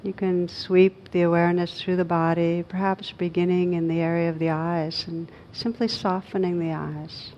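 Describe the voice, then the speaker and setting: Low, even-voiced woman guiding meditation. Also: muffled recording, a little hiss or hum. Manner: gentle, unhurried phrasing with pauses. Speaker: meditation teacher. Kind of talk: guided meditation.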